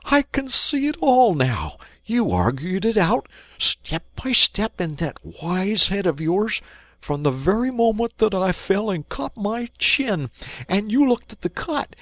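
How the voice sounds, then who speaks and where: A fussy, prim mole, as a storybook reader puts him on, who lectures and grumbles with pettish precision. His voice rides higher than the narration and moves a lot. The sound is dry and close. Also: dry recording, heavily acted. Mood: admiring. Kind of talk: storybook read-aloud.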